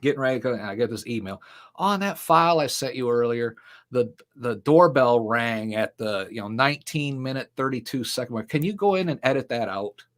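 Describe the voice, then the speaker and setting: A man's voice: mid-range voice, expressive, fairly quick, a bit bright. A middle-aged man, a ministry founder and interview guest, telling long stories in casual talk with many fillers and the odd laugh. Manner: rambling storyteller with frequent fillers and laughs.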